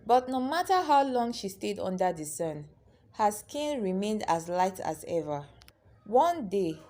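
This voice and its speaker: A woman storyteller narrating a scripted folktale in a dramatic, expressive way. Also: medium pitch, unhurried.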